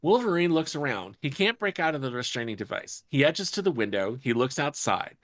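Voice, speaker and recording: A host reading stage directions at a table read, animated and sardonic, with wry asides. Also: medium pitch, brisk.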